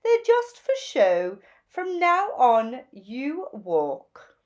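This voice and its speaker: Flamboyant female bird stylist for a storybook, played by a woman: bossy, gushing, high and slow, stretching key words. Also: huge pitch swings, full character voice. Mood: commanding. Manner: theatrical, gushing, drawn-out emphatic words. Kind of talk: children's storybook character voice.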